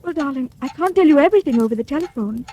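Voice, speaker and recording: A woman's voice: high voice, expressive, very fast, dark tone. An actress playing a scheming young widow who poisoned her husband, with 1940s radio acting. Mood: coaxing. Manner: coaxing, then scornful. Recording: faint hiss, old radio broadcast.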